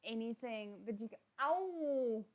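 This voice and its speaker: A woman giving casual, expressive live reaction commentary as a livestream host. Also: a little high, slow. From South Africa. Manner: expressive, exclaims and giggles while watching.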